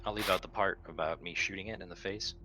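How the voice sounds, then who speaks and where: A man's voice: low-pitched, fairly even intonation, fast talker, music in the background. A man playing a tabletop role-playing game, casually describing what his character does. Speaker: player.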